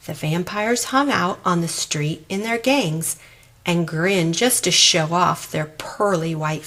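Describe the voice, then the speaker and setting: Slow-paced woman reading a rhyming storybook. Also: lowish voice. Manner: dramatic, expressive storytelling. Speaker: storybook reader. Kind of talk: scripted rhyming children's story read aloud.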